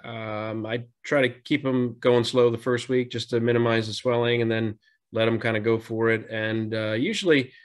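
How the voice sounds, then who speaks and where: A man on a video call, a surgeon explaining his approach in a relaxed way, with many "you know" and "kinda" fillers. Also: medium pitch, moderate pace, clean tone. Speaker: surgeon panelist. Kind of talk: clinical explanation. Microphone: video call webcam.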